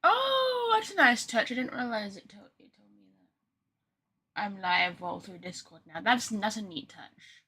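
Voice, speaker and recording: Bright-voiced woman streamer, chatty and rambling. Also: mid-range voice, lively intonation, measured pace, pauses often, breathy edge. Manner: chatty, rambling, self-deprecating. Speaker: game streamer. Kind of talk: casual live-stream chat.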